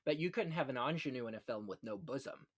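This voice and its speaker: A man with a mid-pitched voice hosts a relaxed discussion of film history. He talks effusively and with plenty of opinion, moving from one anecdote to the next. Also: fast talker, slightly rough. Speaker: podcast host on classic Hollywood films.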